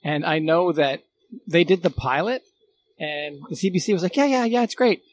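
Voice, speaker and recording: A man's voice: fairly high pitch, moderate pace, slight background noise. A man reminiscing casually as a guest in an informal conversation. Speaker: podcast guest, former radio producer.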